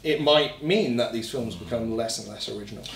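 Guy in his 30s chatting about films, rambly and animated, echoey room, bit far from mic. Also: mid-range voice, brisk, gravelly. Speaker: film discussion vlog co-host. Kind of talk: casual chat. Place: small home office, indoors. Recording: roomy, distant mic, background noise.

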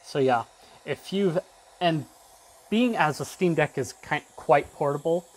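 A man's voice: on the high side, a little slow. A man giving a casual, off-the-cuff tech demo, rambling a little and trailing off mid-thought. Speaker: tech video creator demonstrating a device.

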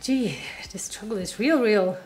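A woman's voice: medium pitch, unhurried, darkish tone. A woman, a native German speaker, chatting casually through a home cooking demo while sniffly with a cold. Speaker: home cook vlogger. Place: home kitchen, indoors. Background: background music.

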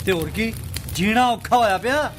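ਉੱਚੀ ਸੁਰ ਵਾਲਾ ਸੁਲ੍ਹਾ-ਪਸੰਦ ਪੇਂਡੂ. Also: male voice, high-pitched, lively intonation, normal speed, fairly bright tone, faint music behind. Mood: exasperated. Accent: Punjabi. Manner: conversational, mediating. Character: peacemaking male villager, exasperated but reasonable. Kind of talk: radio play acting.

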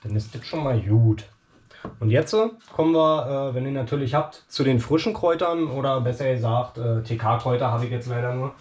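A man's voice: mid-range voice, moderate pace, darkish tone. A man in his thirties hosting a casual home cooking video, chatting with lots of fillers as he works in a small kitchen, picked up by a camera mic across the room. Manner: chatty, many fillers, talks while cooking. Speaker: cooking show host.

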